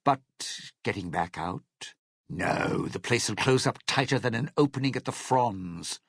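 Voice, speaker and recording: An actor playing a sly, bitter, scheming lounge singer in a video game, with a raspy, gravelly voice and a wry, smooth-talking, theatrical manner. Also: male voice, mid-range voice, lively intonation, a little slow, dry recording, clean studio sound, full character voice. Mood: wry, musing. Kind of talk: video game character dialogue.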